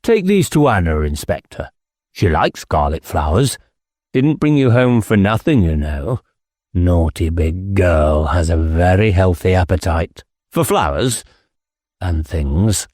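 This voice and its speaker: Village squire for audiobook male reader acting: eccentric, disdainful, taunting, theatrical, slow and deliberate. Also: low voice, lively intonation, a little mellow, no room sound, clean studio sound.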